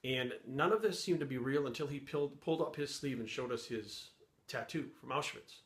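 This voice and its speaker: Middle-aged man from New England, mid-pitched, earnest and rambling, recorded with noticeable room echo. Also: brisk. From Rhode Island, USA. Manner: earnest, rambling, restarts phrases. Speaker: fitness YouTuber. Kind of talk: earnest personal to-camera talk.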